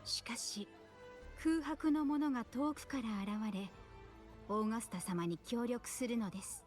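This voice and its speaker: A woman voicing a solemn, composed priestess seer in a video game, speaking formally with breathy pauses. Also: a little high, a little mellow, natural voice. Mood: solemn, prophetic. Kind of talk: Japanese video game voice acting. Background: video game music.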